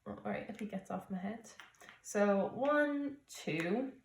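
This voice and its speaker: A woman's voice: medium pitch, lively intonation, takes it slowly, vocal fry, slight background noise. A woman playing a board game, playful and asking about the rules. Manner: playful, asks rule questions. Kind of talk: casual board game playthrough chat. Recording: echoey room.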